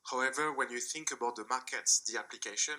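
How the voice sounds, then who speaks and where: French man, conference panelist, bright tone, measured explainer. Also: mid-range voice, poor audio. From France. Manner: measured, explanatory, with small restarts. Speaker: panelist, hydrogen developer.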